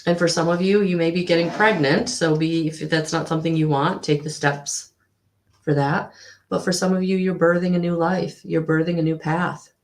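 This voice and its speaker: A woman giving a live tarot reading to viewers, with a husky voice, slightly hoarse after a recent illness, speaking in a soothing, repetitive way with frequent ums. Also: on the low side, fairly even intonation, normal speed, a little mellow. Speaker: tarot reader.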